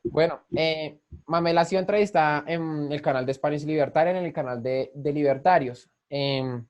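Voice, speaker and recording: A man with a moderately high voice hosts an interview. His phrasing is polite and hesitant, in a conversational style. The recording sounds a little dull and lo-fi. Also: normal speed, a little mellow. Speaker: channel host and interviewer.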